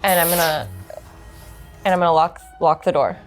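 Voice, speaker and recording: A woman playing a tabletop role-playing game, talking casually and haltingly as she decides her moves. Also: fairly low pitch. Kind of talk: casual game chat, some in-character speech. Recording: background music behind the voice. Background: music.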